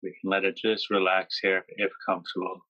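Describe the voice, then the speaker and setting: A man guiding a yoga nidra meditation, speaking slowly and gently with pauses between phrases. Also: low-pitched, monotone, no echo, muffled recording. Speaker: meditation guide.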